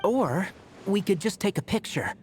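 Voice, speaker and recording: A young botanist game character, dry and sensible but lots of up and down, quiet music under it. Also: male voice, sits high, expressive, moderate pace. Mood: curious, matter-of-fact. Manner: dry, matter-of-fact. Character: young male botanist, sensible, dry, mildly exasperated, inquisitive. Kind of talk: video game voice acting. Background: soft game music.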